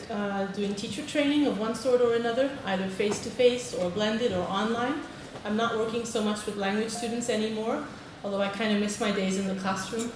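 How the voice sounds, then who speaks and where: A woman from Britain, a teacher trainer giving a friendly conference talk and polling the audience. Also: medium pitch. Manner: friendly, polls the audience, frequent "okay". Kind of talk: conference presentation. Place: large conference hall. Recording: echoey hall, distant mic, noisy.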